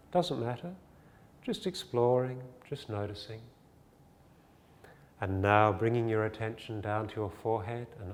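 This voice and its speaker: A man teaching a guided body scan meditation, giving gentle, soothing, unhurried instructions with pauses. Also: on the low side. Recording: long reverb, faint music behind.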